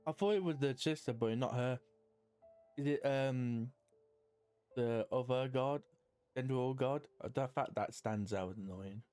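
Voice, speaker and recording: Male gamer, casual commentary, very slow halting muttering, faint game music behind. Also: mid-range voice, dark tone, clean tone.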